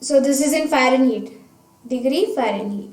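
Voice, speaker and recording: A woman's voice: high voice, normal speed, a little mellow. A woman teacher methodically explaining a worked problem step by step, talking through each stage aloud. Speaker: science teacher. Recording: roomy echo, distant microphone.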